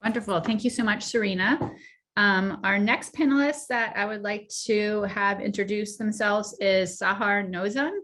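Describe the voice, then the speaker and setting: Woman moderator on a video call, friendly, halting with fillers. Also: medium pitch, fairly even intonation, a bit bright. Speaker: panel moderator. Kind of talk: moderating an online panel.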